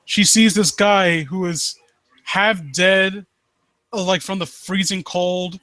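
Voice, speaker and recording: A man hosting a live stream, reading and commenting on stories in a halting, rambling way and often rephrasing himself. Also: high voice, fairly even intonation, sharp tone, no room sound. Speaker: live stream host reading and critiquing amateur horror stories. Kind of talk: story read-aloud and casual commentary.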